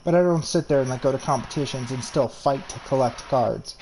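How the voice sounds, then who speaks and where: A man's voice: mid-range voice, brisk, a little mellow, a little hiss or hum, poor audio. A man in his early twenties from New York, telling crude, boastful stories in a casual voice chat with lots of restarts. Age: 20s. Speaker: gamer in voice chat.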